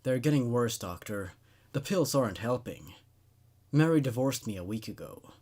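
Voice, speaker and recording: A man's voice: mid-range voice, lively intonation, normal speed, darkish tone, close to an everyday voice. A man voicing a tormented, unhinged male patient in a horror story, intense, strained and accusatory. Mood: desperate.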